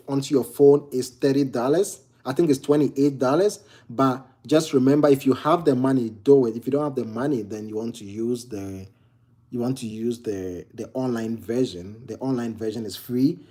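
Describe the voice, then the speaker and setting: A man's voice: mid-range voice, normal speed, darkish tone. A young man from Ghana with a Ghanaian accent, casually answering viewer questions about exam study, with lots of fillers and restarts. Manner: chatty, many fillers and restarts. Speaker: medical exam tutor. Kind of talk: casual Q&A walkthrough. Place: home near a kitchen.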